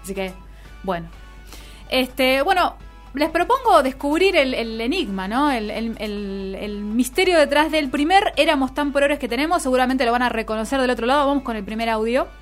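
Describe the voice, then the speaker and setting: A woman with a Rioplatense Argentine accent speaks in a highish voice over music. She hosts a live radio show, chatting casually with playful irony. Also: moderate pace. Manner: playful, ironic.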